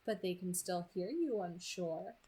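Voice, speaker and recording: A woman voicing a pleasant, coolly amused male kidnapper in an audiobook, polite and controlling, with a light, smooth delivery. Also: fairly low pitch, animated, unhurried, warm tone, pitched below the performer's own voice. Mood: politely menacing. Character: pleasant, softly menacing man, coolly amused kidnapper on phone.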